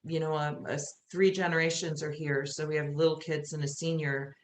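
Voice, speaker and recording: A woman's voice: low-pitched, level tone, moderate pace. A woman podcast guest chatting casually and reflectively, earnest and circling back over phrases for emphasis. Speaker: podcast guest, theatre creator. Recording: roomy echo with faint hiss.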